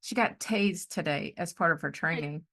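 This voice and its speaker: A middle-aged woman hosting a podcast in a casual, animated chat, often breaking into easy laughter. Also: deep voice, moderate pace. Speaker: podcast host.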